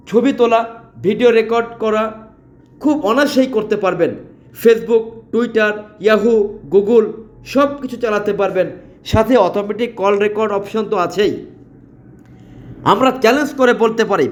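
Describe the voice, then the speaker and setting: A man with a Bangladeshi accent delivering an emphatic, repetitive promotional sales pitch as a product advertiser. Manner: emphatic, repetitive, listing features.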